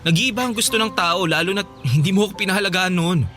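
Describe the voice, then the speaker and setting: High-voiced earnest young man, emphatic. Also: fast, pushed and bright. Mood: regretful. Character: earnest young man firmly refusing a former love. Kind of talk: Filipino radio drama acting.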